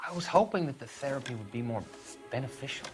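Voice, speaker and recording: A male actor plays a troubled young medical student in a game drama. He is polite and earnest, with a medium-pitched voice that moves a great deal. Music is clearly audible behind him. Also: animated, fast, lightly acted. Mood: troubled, deferential. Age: young adult. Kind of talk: dramatic video game cutscene acting.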